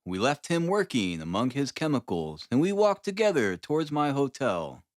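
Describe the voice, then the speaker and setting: Highly animated male audiobook narrator. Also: moderate pace, no room sound, studio quality. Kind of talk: expressive scripted narration of a classic novel.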